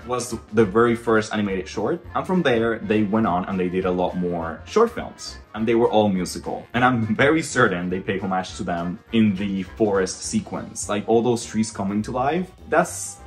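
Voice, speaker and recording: A man from the Dominican Republic whose first language is Spanish speaks in a low voice. He reviews films in a casual, emphatic, opinionated vlog style, with faint guitar music behind him. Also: expressive, normal speed, hardly any pauses. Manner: emphatic, conversational. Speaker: animator and film reviewer.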